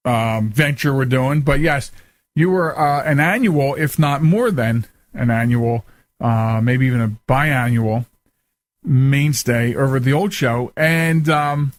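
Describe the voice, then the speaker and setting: A man's voice: a little high, no echo. A man hosting a talk show in a casual, chatty, rambling storytelling way. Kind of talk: casual interview chat.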